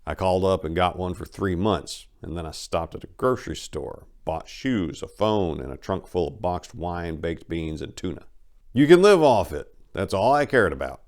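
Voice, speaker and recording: A man's voice: low-pitched, lively intonation, normal speed, a little mellow. A man speaking as host and dramatic reader, with theatrical, emphatic phrasing. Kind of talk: dramatic audiobook reading.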